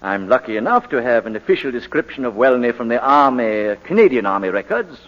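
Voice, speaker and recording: A man's voice: medium pitch, sing-song, quick pace, close to an everyday voice. An actor playing a sly, relentless barrister in a 1940s radio courtroom drama, sardonic and mock-polite as he cross-examines a witness. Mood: pointed, methodical. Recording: muffled old 1940s radio broadcast.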